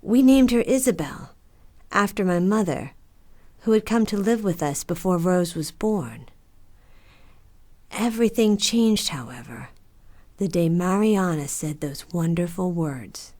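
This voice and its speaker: A woman playing a frail, strong-willed old nun in an audio drama, speaking earnestly and deliberately. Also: fairly low pitch, animated, dark tone. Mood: wistful.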